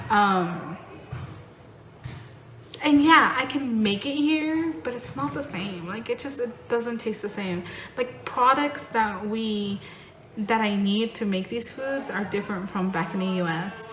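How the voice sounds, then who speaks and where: A woman's voice: medium pitch, a bit bright. A young woman with an American accent, vlogging in a casual, rambling way with lots of fillers. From born in Mexico, raised in North Carolina. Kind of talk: casual talking-head vlog. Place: home in Mexico. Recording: echoey room with noticeable background noise.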